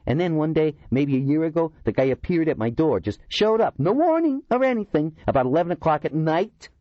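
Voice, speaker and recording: A man's voice: on the high side, big pitch swings, fairly quick, no echo, lo-fi sound, pitched above the performer's own voice. A man voicing an eccentric, talkative middle-aged man who complains indignantly. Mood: aggrieved.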